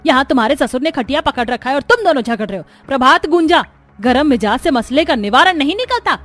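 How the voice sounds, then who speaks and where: A woman's voice: high-pitched, lively intonation, brisk, fairly bright tone, faint music behind. A woman voicing a firm, practical elderly village mother-in-law in a cartoon story, scolding and advising. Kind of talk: Hindi cartoon story acting.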